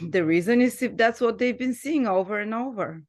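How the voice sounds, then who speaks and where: A woman's voice: mid-range voice, normal speed. A woman from Bulgaria with a Bulgarian accent, hosting a casual conversation and laughing often, with hesitant restarts. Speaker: host.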